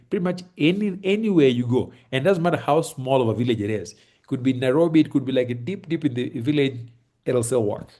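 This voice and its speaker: A Kenyan man with a Kenyan accent giving relaxed, reassuring advice on a video call, with lots of fillers and restarts. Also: medium pitch. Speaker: host advising on moving to Kenya. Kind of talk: casual advice chat on a call. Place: home in Kenya.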